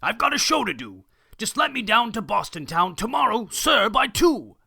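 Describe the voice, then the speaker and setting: A man's voice: sits high, big pitch swings, moderate pace, gravelly, pushed and bright, slightly rough, dry recording, clean studio sound, voice pushed up, exaggerated voice. A man voicing a greedy, blustering male circus boss who screams and barks orders in a storybook. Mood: furious.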